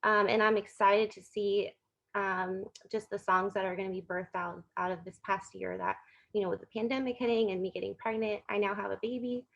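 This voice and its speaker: A woman's voice: medium pitch, fairly even intonation, a little fast, vocal fry, fairly bright tone. A young American woman giving a casual, conversational testimonial on slightly muffled, lo-fi audio. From Florida, United States.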